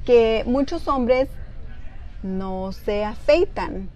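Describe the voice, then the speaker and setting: Casting: young female news host, bright and upbeat, a bit high-pitched, studio lapel-mic sound. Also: extremely slow, dull audio. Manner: lively, encouraging, fills in words for guest. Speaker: host of Spanish-language news segment. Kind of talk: studio interview. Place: small TV studio, seated on couch.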